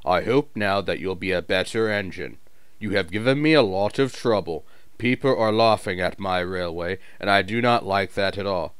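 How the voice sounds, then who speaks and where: A stern but kind railway boss speaks in a measured, formal manner, as a man puts him on. The storybook reading sounds close and dry. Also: low-pitched, clear, resonant tone, no echo, close to an everyday voice.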